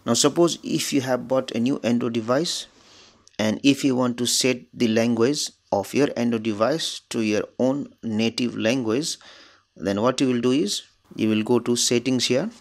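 A man's voice: mid-range voice, normal speed, soft background music. A man whose native language is Nepali, hosting his channel and explaining phone settings step by step in plain instructional narration. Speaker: tech tutorial channel host.

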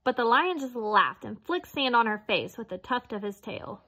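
A woman's voice: fairly high pitch, lively intonation, moderate pace, bright, forceful tone. A woman reading a children's picture book aloud as narrator. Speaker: storybook reader.

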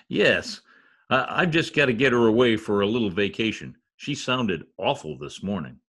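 A man's voice: on the low side, lively intonation, measured pace, fairly bright tone, breathy edge, full character voice. An older man playing a pompous, exasperated radio star with a theatrical manner in old-time radio comedy. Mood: fretful, weary. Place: indoor home office. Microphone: computer mic on video call.

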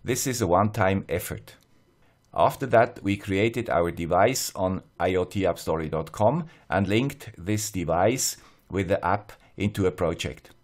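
A man with a fairly low voice and a Swiss accent narrates a scripted tutorial. He explains things step by step in a measured, plain-spoken manner. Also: darkish tone. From Switzerland. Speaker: host of an electronics tutorial channel.

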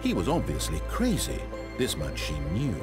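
An actor playing a composed, wry, omniscient narrator in a video game, with a British accent and deliberate, articulate, theatrical delivery. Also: male voice, mid-range voice, wildly swooping pitch, loud music behind the voice. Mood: solemn.

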